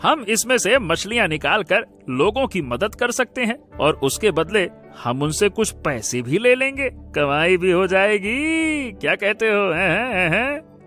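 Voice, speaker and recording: A man's voice: sits high, sing-song, a little slow, fairly bright tone, soft background music, exaggerated voice. A man voicing an upbeat, reassuring poor village farmer in a cartoon story, exclaiming and drawing out his words. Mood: cheerful, persuasive. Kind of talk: Hindi cartoon story voice acting.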